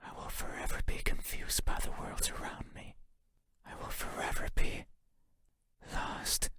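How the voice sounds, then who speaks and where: Man as an eerie whispering shadow creature. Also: close to an everyday voice. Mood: wistful, searching. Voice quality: breathy, unvoiced whisper. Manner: slow, halting, questioning, softly insistent. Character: eerie wandering shadow creature, lonely, curious, longing to be seen. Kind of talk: intimate whispered horror game monologue.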